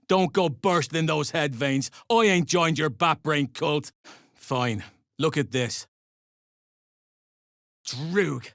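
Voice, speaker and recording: An actor playing a gruff, curt duergar slave-driver in a video game, full of coarse, threatening mercenary bluster. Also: male voice, fairly high pitch, fairly bright tone, no room sound, clean studio sound. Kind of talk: video game character dialogue.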